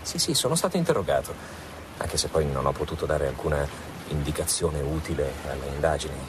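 Radio drama fiancé: about thirty, deep, smooth-talking engineer, noticeable ambient noise behind. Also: male voice, animated, a little fast. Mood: reassuring, explaining. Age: 30s. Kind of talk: Italian radio drama acting.

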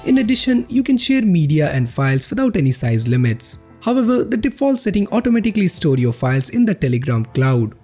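Male tech presenter, animated scripted read, slightly husky, music underneath. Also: fairly high pitch. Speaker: host of a tech review channel. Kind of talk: scripted narration of an app roundup video. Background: background music.

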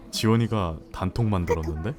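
A man's voice: low voice, normal speed, lightly acted. A man voicing a laid-back married man in his thirties who teases his nervous friend with chuckles, in an amateur audio drama. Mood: teasing. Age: 30s. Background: soft background music.

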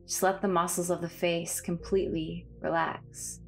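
A woman leading a guided body scan meditation in a calm, soothing, measured way, leaving pauses between phrases. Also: on the low side, vocal fry. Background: background music.

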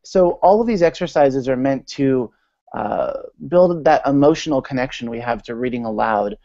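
A chatty American man in his thirties presents informally over a video call. His voice sits at a middle pitch, and the sound is close and dry but slightly lo-fi. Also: animated, moderate pace, warm tone, dry recording. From United States, Maryland. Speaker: guest speaker, literacy advocate. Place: small room at home, indoors. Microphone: video call with earphones. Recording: dull lo-fi video call audio.

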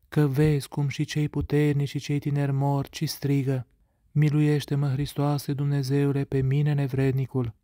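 Man, reader of liturgical prayers: near-monotone recitation, mellow and dry, close to the mic. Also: mid-range voice, no room sound.

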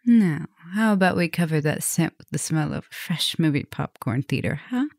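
A woman playing a flirtatious, softly dominant vampire professor in an ASMR roleplay, intimate and teasing, sometimes dropping to a whisper. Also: deep voice, expressive, normal speed, no echo. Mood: playful, inviting. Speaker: roleplay audio performer.

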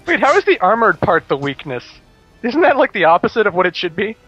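A man with a slightly high voice chats casually as a game co-commentator. He explains details to his friends. The sound is dull and lo-fi, with faint game music. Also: big pitch swings, brisk, husky. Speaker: gaming co-commentator. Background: video game music.

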